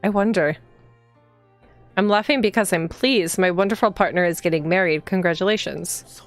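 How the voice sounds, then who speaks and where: A woman with a slightly low voice streams a story game. She moves between reading the text aloud and casual commentary, thinking out loud as she goes. Soft music plays behind her voice. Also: normal speed. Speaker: streamer playing a visual novel. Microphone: streaming microphone.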